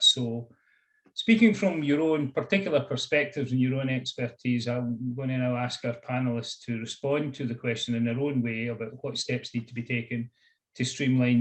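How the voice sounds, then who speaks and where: A man's voice: mid-range voice, low-quality recording. A man chairing a webinar panel, speaking formally and courteously as he hands questions to the panelists.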